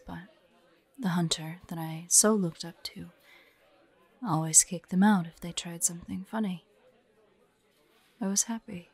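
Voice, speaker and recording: A woman voicing a grieving, vengeful young demon hunter in an audio roleplay, soft and breathy, telling her story haltingly. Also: lowish voice, full character voice. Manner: slow, halting, haunted storytelling. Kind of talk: dramatic audio roleplay monologue.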